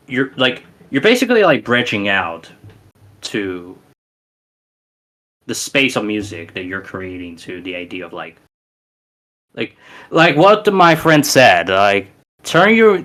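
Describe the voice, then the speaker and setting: Romanian-accented male musician guest, low-pitched, emphatic and meandering in casual chat. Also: a bit bright. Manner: rambling, emphatic, many restarts. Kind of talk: casual podcast interview.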